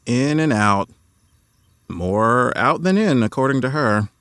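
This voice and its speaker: An actor playing a grumpy, sardonic fossil scientist with a hidden grief, in an audio drama. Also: male voice, mid-range voice, lively intonation, fairly bright tone, clean tone, no room sound. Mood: dry, awkward. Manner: sardonic, halting when emotional. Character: grumpy, wry male fossil scientist, grieving his colleague.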